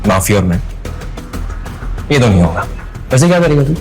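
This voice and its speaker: A man's voice: mid-range voice, very animated, normal speed, a little mellow, faint music behind, close to an everyday voice. Man acting an arrogant, spiteful young ex. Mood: sneering. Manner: sneering, confrontational. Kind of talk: audio drama acting.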